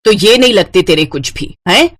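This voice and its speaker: A woman voicing a frail, doting old mother-in-law in an animated story, fussing and plaintive. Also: mid-range voice, normal speed, dry recording, lightly acted. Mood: indignant, doting. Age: older. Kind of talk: animated moral-story cartoon voice acting.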